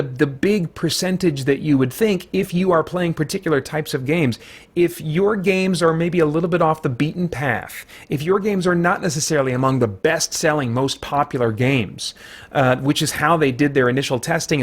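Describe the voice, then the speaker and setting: A middle-aged man hosting a gaming vlog, talking through his opinions conversationally in a measured, careful way. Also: on the high side. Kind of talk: conversational opinion commentary on video games.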